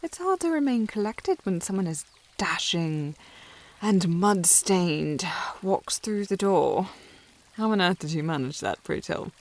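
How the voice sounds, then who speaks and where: A woman's voice: lowish voice, big pitch swings, measured pace. A woman playing a haughty young upper-class lady in a historical audio roleplay, formal and teasing in manner. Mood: arch, teasing.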